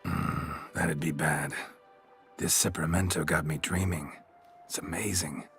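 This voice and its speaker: A man's voice: a bass voice, steady tone, a little slow, fairly bright tone, faint music behind, heavily acted. An actor playing a laconic male monster hunter in a fantasy video game, talking in a dry, casual manner. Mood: mellow, content. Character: laconic male monster hunter, gruff, relaxed master of house.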